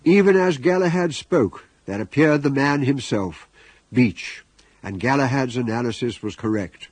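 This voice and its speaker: An actor playing a wry storyteller, narrating a scripted radio comedy. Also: male voice, mid-range voice, lively intonation, a little slow, darkish tone, slight background noise, lightly acted.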